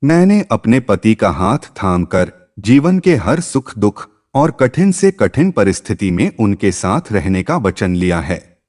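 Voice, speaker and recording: A man's voice: lowish voice, darkish tone, full character voice. A man voicing a devoted young wife in a moral story, respectful, earnest and resolute. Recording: long reverb.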